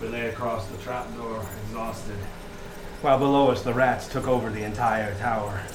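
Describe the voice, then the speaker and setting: A man's voice: medium pitch, steady tone, moderate pace, lightly acted. An actor playing a grim, haunted lighthouse keeper, telling his story with dramatic suspense in an audio horror drama. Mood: hushed dread. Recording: echoey, noisy radio play sound.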